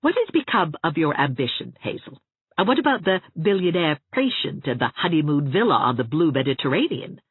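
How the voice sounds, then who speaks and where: A narrator voicing a sensible young woman who speaks in a brisk, dryly scolding way, with a tinny, dry sound. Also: mid-range voice, big pitch swings, slightly rough, no room sound.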